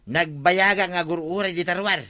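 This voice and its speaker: Complaining village man in a radio comedy, forceful bright voice, bit high, exasperated. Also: steady tone, moderate pace, dry recording. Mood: impatient. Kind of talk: Ilocano radio comedy drama acting.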